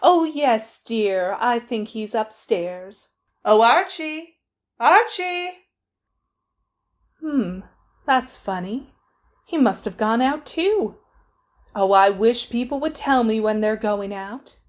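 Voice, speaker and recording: Woman acting middle-aged mother, fretful, exclaiming, slightly high, slow-paced, vintage radio comedy. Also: animated. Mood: puzzled, fretful. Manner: fussy, exclaiming, calls everyone dear.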